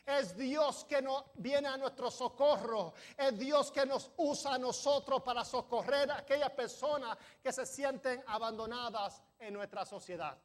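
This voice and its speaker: A high-pitched man delivering a church sermon. He is not a native speaker, sometimes trips over a word, and pushes his voice up toward emphatic peaks as he preaches. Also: measured pace, few pauses. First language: not Spanish. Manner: preaching with rising emphasis, some stumbles over words. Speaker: pastor. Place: church sanctuary.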